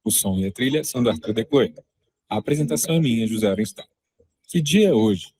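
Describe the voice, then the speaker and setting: A man's voice: medium pitch, lively intonation, fairly quick, mellow, husky. A man presenting a podcast, reading its credits as scripted narration.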